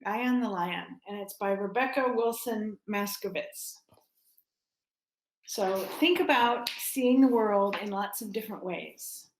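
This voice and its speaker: A woman's voice: medium pitch, slow, a little hiss or hum. A woman speaking simply to children as a church storyteller at story time. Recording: roomy echo.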